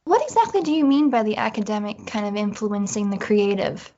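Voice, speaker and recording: A woman's voice: mid-range voice, very animated, fairly quick, mellow, slight background noise. A woman speaking reflectively and a little tentatively in a casual conversation about her work. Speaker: panel participant, creative writer. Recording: dull lo-fi audio.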